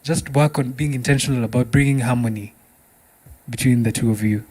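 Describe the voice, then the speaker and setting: A man's voice: mid-range voice, brisk, warm tone, rough recording. A man with a Kenyan accent hosting a podcast in a casual, appreciative and affirming conversational way. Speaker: podcast host.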